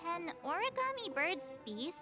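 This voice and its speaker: A woman's voice: very high voice, very animated, a little slow, over loud music, full character voice. A woman voicing a perky, inquisitive, childlike little companion in a video game. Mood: puzzled.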